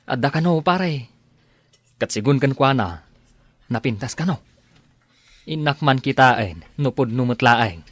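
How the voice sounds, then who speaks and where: A man's voice: medium pitch, lively intonation, a little slow, long pauses, dry recording. A man playing a smitten, bashful village drinker in an audio drama. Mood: scheming. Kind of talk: Philippine radio-style audio drama acting.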